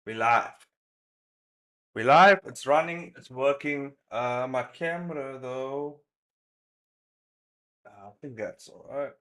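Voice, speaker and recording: Unhurried man chatting casually on a livestream. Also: mid-range voice, fairly bright tone. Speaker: livestream host. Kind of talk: casual livestream chat while setting up.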